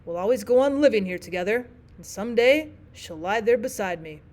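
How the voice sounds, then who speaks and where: A woman voicing a brooding young farmer man in a read-aloud storybook, speaking quietly and plainly. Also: mid-range voice, lively intonation, brisk, lightly acted. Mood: subdued.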